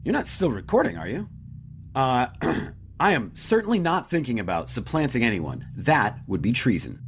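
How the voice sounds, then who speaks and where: A man playing a cautious, uneasy captain in a sci-fi audio drama, speaking in a halting, guarded way. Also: mid-range voice, huge pitch swings, slight background noise. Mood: guarded, nervous. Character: uneasy, cautious military captain under orders.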